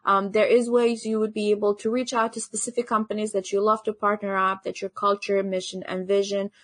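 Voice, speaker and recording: A woman's voice: mid-range voice, little pitch movement, a little fast, no echo. A young woman from Albania, speaking, gives an earnest online conference presentation as a podcast host, often saying "actually" and "you know.".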